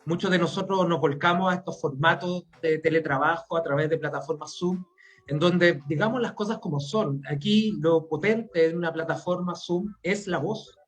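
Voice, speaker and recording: A man's voice: on the high side, normal speed. A middle-aged man with a Chilean accent giving reflective interview answers, repeating words as he thinks. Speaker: interview guest, playwright and academic.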